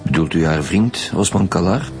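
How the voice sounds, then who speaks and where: A man's voice: low voice, expressive, normal speed, music in the background. A man playing a stern, probing Flemish police detective in a naturalistic radio drama, speaking formally. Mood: firm, formal.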